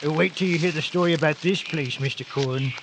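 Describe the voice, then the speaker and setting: An actor playing a folksy tugboat skipper in an old-time radio drama. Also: male voice, a little high, fast, noisy background, natural voice. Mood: eager.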